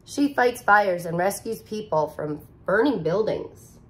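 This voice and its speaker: A woman in her thirties, a teacher, reading a picture book aloud to young children in an expressive, sing-song way, recorded close to the camera in a living room. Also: mid-range voice, unhurried, poor audio. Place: living room with couch, indoors.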